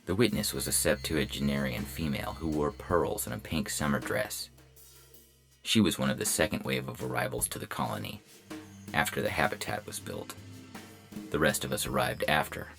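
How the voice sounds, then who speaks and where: A man playing a deadpan robot police officer who narrates a hardboiled detective story in clipped phrases. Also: extremely low pitch, normal speed, creaky, full character voice. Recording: music bed behind the voice.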